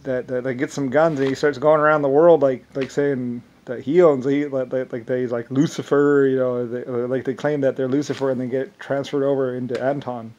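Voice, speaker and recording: A man with an Irish accent talking in a rambling monologue, halting often with restarts and fillers. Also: medium pitch, level tone, darkish tone, highs cut off. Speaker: self-described inventor.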